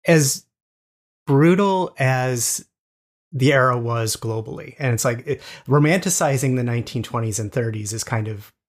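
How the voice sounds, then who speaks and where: Halting, enthusiastic male podcast interviewer. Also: medium pitch. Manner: halting, repeats words, enthusiastic. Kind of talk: casual literary interview.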